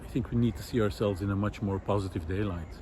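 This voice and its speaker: Man, lowish voice, thoughtful halting monologue, searching for words, outdoors, faint city noise and wind. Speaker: host of a nature project podcast. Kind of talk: reflective unscripted spoken monologue. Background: faint city noise, wind, vehicles.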